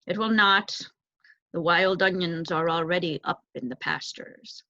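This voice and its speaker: A woman voicing a grave, formal male owl in a storybook, speaking in measured pronouncements. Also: lowish voice, pushed and bright, dry recording. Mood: curt, sure. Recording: dull, lo-fi close recording.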